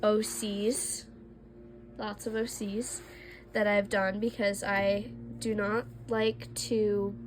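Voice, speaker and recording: A woman's voice: mid-range voice, noisy background. A young woman, a little congested from allergies, chatting casually and self-deprecatingly as she shows her old drawings. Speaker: art vlogger. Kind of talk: casual sketchbook tour.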